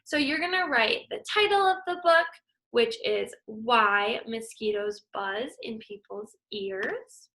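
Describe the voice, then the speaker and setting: A woman's voice: on the high side, takes it slowly, fairly bright tone. A young adult woman teacher who reads a picture book aloud and explains a task to young students in an encouraging way. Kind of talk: children's read-aloud and lesson.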